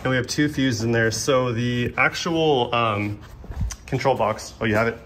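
A man's voice: mid-range voice, normal speed, fairly bright tone. An American man hosting a casual how-to vlog with a friend, talking in slangy bro style as he works in a garage. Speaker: vlog host. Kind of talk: casual how-to chat with a friend while working. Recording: clear ambient noise behind handheld camera sound.

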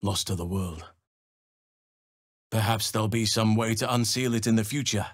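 A man voicing an ancient, earnest elf druid in a video game, speaking in a calm, formal and solemn way. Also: on the low side, no room sound, studio quality, natural voice. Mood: sincere, gentle. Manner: measured, formal, solemn phrasing. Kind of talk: video game character dialogue.

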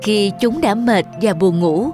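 A woman's voice: medium pitch, expressive, unhurried. A woman narrating a scripted fairy tale for children as the storyteller. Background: music.

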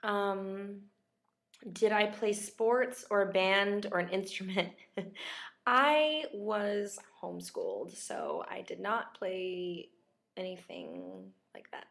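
A woman's voice: medium pitch, slow pace, vocal fry, a bit bright. A young woman who is losing her voice, chatting casually on a livestream and reading out viewers' questions in a self-deprecating way. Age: young adult. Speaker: actress chatting with fans on a livestream. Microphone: laptop webcam at close range.

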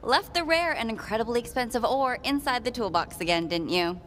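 A woman's voice: on the high side, animated, brisk, a bit bright, in a large hall, slight background noise. A woman playing a dry, teasing, loyal assistant in a video game, with a wry and understated delivery.

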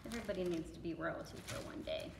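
A woman's voice: on the low side, fast talker. An American woman giving a casual children's craft demonstration. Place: library room with bookshelves. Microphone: camera a few meters away. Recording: echoey room, clear background noise.

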